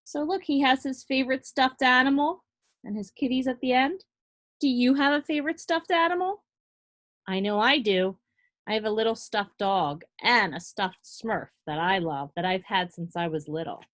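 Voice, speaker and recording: A woman preschool teacher reading to young children in an animated way, with simple explanations and questions spoken directly to them. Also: on the high side, moderate pace. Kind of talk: read-aloud to young children with asides and questions.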